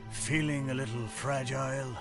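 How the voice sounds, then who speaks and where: A man's voice: medium pitch, fairly even intonation, normal speed, loud music behind the voice, natural voice. A man voicing a talking boat in a video game, casual, matey and teasing. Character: talking boat, a laid-back, matey male.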